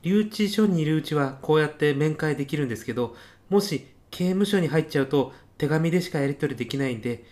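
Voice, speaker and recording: A man's voice: on the high side, expressive, fast talker, few pauses, darkish tone, natural voice. A man in a comedic radio drama playing a meek, hapless junior businessman, deferential and hesitant but prone to blunt exasperation. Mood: polite, sheepish.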